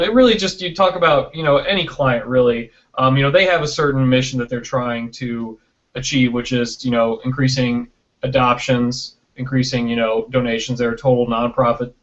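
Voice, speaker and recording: Man explaining casually, dull lo-fi audio. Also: mid-range voice. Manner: explanatory, often tags sentences with "right?" and "you know". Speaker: interview guest, video producer. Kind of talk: casual video-chat interview. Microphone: built-in webcam microphone on video call. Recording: dull lo-fi web video call.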